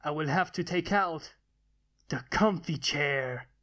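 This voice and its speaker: A story narrator voicing an eccentric, gruff male inventor who talks in a formal, pompous, wry manner. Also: medium pitch, slow, fairly bright tone, pitched below the performer's own voice. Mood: exasperated.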